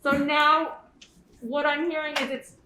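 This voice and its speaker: Woman board member, muffled by a mask, high bright voice, loud and questioning, echoey room. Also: little pitch movement, unhurried, a little hiss or hum. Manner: speaks up loudly through a mask, questioning. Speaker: selectboard member. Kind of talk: meeting discussion. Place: town meeting room.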